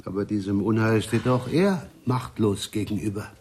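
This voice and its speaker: An actor playing a talkative, deferential and superstitious village innkeeper in a radio drama, with a confiding manner. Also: male voice, fairly low pitch, moderate pace, a little mellow, a little hiss or hum, close to an everyday voice. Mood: sociable, confiding. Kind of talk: 1970s German radio drama acting.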